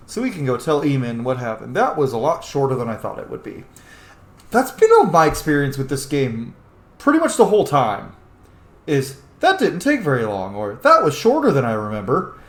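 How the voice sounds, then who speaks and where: A man's voice: medium pitch, sing-song, moderate pace. A man giving casual commentary over a game playthrough, chatty and thinking aloud. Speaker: gaming host. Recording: roomy echo, faint game noise.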